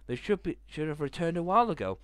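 A young man with a headset mic in a small bedroom, reading a visual novel aloud in a hushed voice and breaking into loud reactions. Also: on the high side, very animated, quick pace, clear, resonant tone, dry recording. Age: young adult. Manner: reads narration in a hushed tone, reacts with loud outbursts. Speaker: gaming video host.

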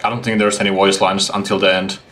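A man's voice: low voice, flat delivery, quick pace, a bit bright, slight background noise. A young man giving casual livestream commentary as he reacts to a game. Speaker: game streamer. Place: small room at home, indoors. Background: game music.

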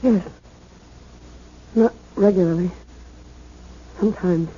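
An actress in a 1970s radio drama playing a high-strung, sorrowful young wife, hesitant and fragile. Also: female voice, takes it slowly, mellow, husky. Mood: despondent. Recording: muffled, hissy old radio recording.

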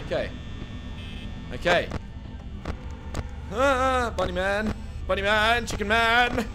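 A young man plays a horror game into his headset, his high voice swinging from tense mutters to panicked shouts. His pitch jumps all over the place. Music plays behind him throughout. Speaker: gaming YouTuber. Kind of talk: horror game let's play. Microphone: over-ear headset.

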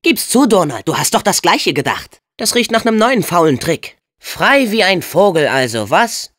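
A man's voice: high-pitched, very animated, normal speed, fairly bright tone, exaggerated voice. A young man voicing a plucky, kind-hearted teenage boy hero in a video game dub, earnest and spirited, with casual youthful phrasing. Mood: wry.

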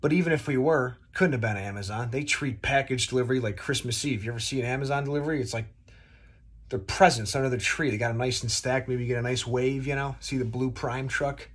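A young man with a husky, mid-pitched voice talks casually to his phone at home. He tells his story like a comedic rant, animated and full of exaggeration, with some swearing. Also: quick pace. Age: 20s. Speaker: vlogger. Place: small dim room at home, indoors.

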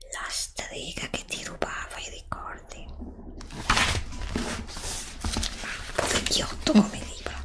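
A woman playing a curious, stubborn student in a library, muttering and chatting in a whispered ASMR roleplay. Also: mid-range voice. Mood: intrigued, defiant. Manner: muttering to herself, chatting to an unseen companion.

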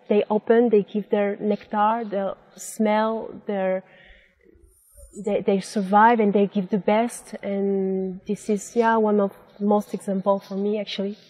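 Slow, hesitant young woman in a garden. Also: mid-range voice, warm tone, low-quality recording. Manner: hesitant, searching for words with many fillers. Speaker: interviewee. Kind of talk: reflective interview answers. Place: outdoor garden.

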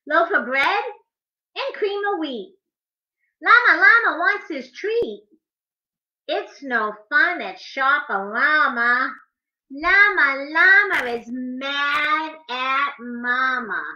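A woman's voice: high-pitched, lively intonation, takes it slowly, bright, forceful tone. A middle-aged woman hosting a preschool storytime, speaking and reading aloud in a sing-song, emphatic way. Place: indoors in a home living room. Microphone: webcam or laptop at arm's length. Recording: dull webcam audio, echoey living room.

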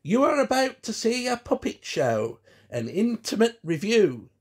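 A man's voice: sits high, sing-song, unhurried, husky, pitched above the performer's own voice, exaggerated voice. A man voicing a bossy, impatient male puppeteer in an audiobook, giving imperious orders. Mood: pompous.

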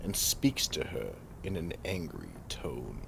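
A man's voice: extremely low pitch, big pitch swings, slow, vocal fry, noisy background. A man narrating a scripted horror radio drama and announcing its acts.

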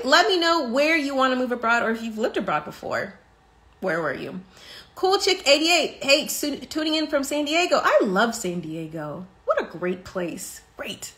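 A woman's voice: sits high, normal speed. An American woman in her thirties hosting a casual live stream from her living room, talking animatedly into a phone held close. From United States. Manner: animated, expressive, talks with her hands. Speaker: podcast host on a live stream. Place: bright living room indoors.

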